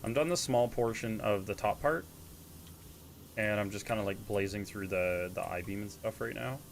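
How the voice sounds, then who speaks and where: A man's voice: lowish voice, moderate pace, creaky, slight background noise. A man with a stuffy, congested, slightly nasal voice, chatting casually and self-deprecatingly while streaming a game. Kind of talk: casual live-stream chat while gaming.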